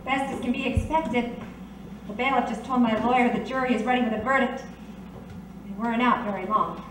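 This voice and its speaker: A woman's voice: fairly high pitch, fairly even intonation, fairly quick, natural voice. A woman playing a plucky, stoic heroine in a detective audio drama, resigned yet brave and a little wry. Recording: echoey, distant, faintly noisy recording.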